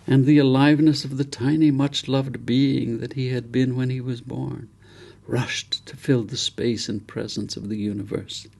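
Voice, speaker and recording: A man reading his own novel aloud as literary narration, solemn and reverent, with deliberate phrasing and dramatic pauses. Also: medium pitch, steady tone, creaky, a little mellow.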